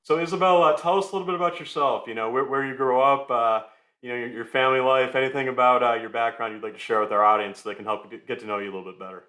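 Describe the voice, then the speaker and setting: A man hosting an interview podcast, his mid-pitched voice ringing in a roomy space. He introduces his guest with a friendly, loose delivery and sprinkles in fillers as he asks questions. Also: fairly even intonation, rapid-fire, bright, forceful tone. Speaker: podcast host. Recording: roomy echo.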